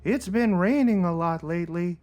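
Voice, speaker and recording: A man's voice: high-pitched, moderate pace, a little mellow, faint music behind, lightly acted. A man playing a solitary, melancholy cartoon blogger in a dramatic reading, pedantic and plaintive. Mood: fussy, melancholy. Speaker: performer in a podcast dramatic reading. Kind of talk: dramatic reading of a comic blog.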